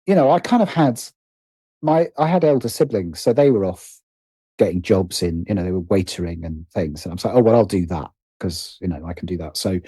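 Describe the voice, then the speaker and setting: A man's voice: fairly low pitch, warm tone, husky, no echo. A British man telling personal stories conversationally as an interview guest, hesitant and often interrupting himself as he thinks aloud.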